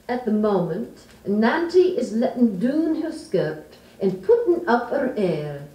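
A woman's voice: on the high side, big pitch swings, slow pace, mellow. A woman giving a theatrical introduction as the narrator of a stage sketch. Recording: roomy, distant 1950s 78 rpm record.

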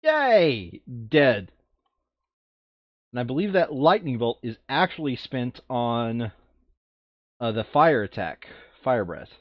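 A man's voice: medium pitch, very animated, takes it slowly, pauses often, dry recording, dull audio. A man chatting casually and informally while playing a board game, muttering rules aloud and joking. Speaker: board game player in a recorded play session. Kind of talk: casual gameplay chat.

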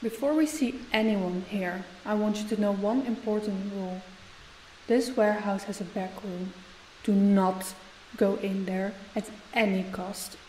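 A woman's voice: mid-range voice, fairly even intonation, measured pace, darkish tone, natural voice, rough recording. A woman playing a stern, composed company boss in an audio roleplay, giving orders with authority. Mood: firm. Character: stern, commanding female company boss, composed under stress. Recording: faint background hiss.

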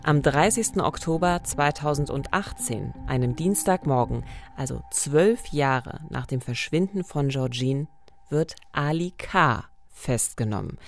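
Very low-voiced woman narrating true crime. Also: animated, measured pace. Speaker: podcast host. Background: background music.

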